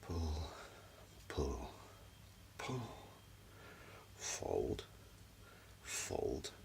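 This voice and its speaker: A man doing soft-spoken ASMR as an energy healer, murmuring soothingly and repetitively in a breathy, gravelly voice, close up in a small room at home. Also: big pitch swings, drawn-out words, background noise. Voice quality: whispery, breathy, low and gravelly. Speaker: ASMR performer giving a reiki session.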